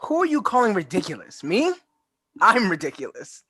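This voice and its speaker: A man acts out a teenage boy in a comedy table read. He is cocky and quick to take offence, with a husky, animated voice in a dry-sounding recording. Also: high voice, dry recording, full character voice. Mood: indignant.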